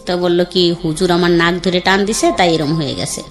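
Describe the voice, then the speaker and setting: A woman in her thirties with a colloquial Bangladeshi accent tells her own life story in a plain, conversational way. She slips into other people's words as she recalls them. Her voice sits a little low, and faint music plays behind her. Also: a little fast. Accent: Bangladeshi colloquial Bengali. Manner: plain conversational, acts out quoted dialogue. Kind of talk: candid storytelling.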